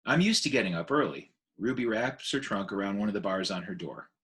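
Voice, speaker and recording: Lively man narrating a storybook. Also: fairly low pitch, fairly quick. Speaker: teacher reading aloud.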